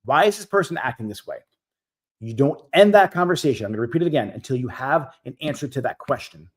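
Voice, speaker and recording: A man's voice: medium pitch, animated, quick pace, slightly rough. Emphatic man in his forties. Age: 40s. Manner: direct, emphatic, repeats key points. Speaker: host. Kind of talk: live stream Q&A coaching.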